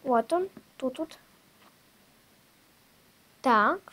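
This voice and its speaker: A female host chatting casually in a toy unboxing video, showing things step by step in a simple, halting way. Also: high-pitched, animated, bright, forceful tone. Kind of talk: casual unboxing vlog chat.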